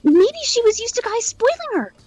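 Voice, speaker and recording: A woman's voice: extremely high pitch, sing-song, fairly quick, darkish tone, faint music behind. A young adult woman joking and swearing in casual chat on a game stream.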